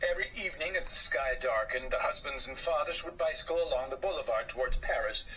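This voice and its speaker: A man reading a children's story aloud as host, with an expressive, theatrical, drawn-out delivery. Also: mid-range voice. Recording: slightly distant mic, faint noise.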